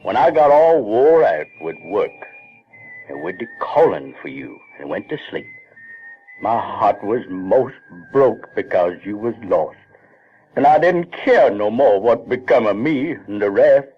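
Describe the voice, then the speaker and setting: Deep, slow, earnest Southern man Jim. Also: big pitch swings, slight background noise. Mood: emotional, hurt. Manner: slow, heartfelt, rustic grammar. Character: Jim, earnest, tender-hearted, wounded older Southern man. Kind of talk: dramatic audio drama acting. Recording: dull vintage radio-drama recording, music behind.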